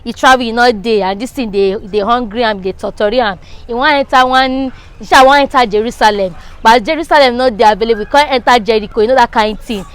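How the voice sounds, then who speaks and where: A woman with a Nigerian accent and a fairly high voice gives her opinion in a street interview. She talks in a casual, conversational way. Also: fast talker, hardly any pauses, clean tone. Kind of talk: animated casual chat in Nigerian Pidgin.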